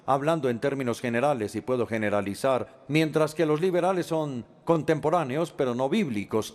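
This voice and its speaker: Brief: male voice-over for a lecture, slightly high voice, lively intonation, measured with small hesitations. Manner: measured and explanatory, with hesitant fillers. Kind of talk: dubbed lecture.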